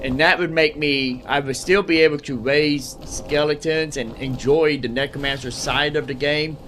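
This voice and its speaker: A man with a somewhat high voice chats casually as he plays a video game. He thinks out loud, wandering along and circling back to repeat phrases. There is clear, steady background noise under his voice. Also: normal speed. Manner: rambling, thinking aloud, often repeats phrases. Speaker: gaming streamer. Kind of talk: casual solo gameplay commentary.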